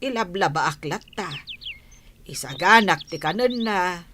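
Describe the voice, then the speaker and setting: A woman's voice: fairly low pitch, expressive, measured pace, fairly bright tone. A woman playing a fretful, plain-spoken older village mother in an Ilocano comedy radio drama. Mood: fretful, resigned. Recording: faint noise behind the voice.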